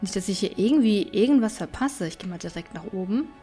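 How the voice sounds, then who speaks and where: A woman's voice: medium pitch, animated, fast, dark tone, soft background music. A woman giving casual, chatty gameplay commentary, thinking aloud as she plays. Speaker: let's player.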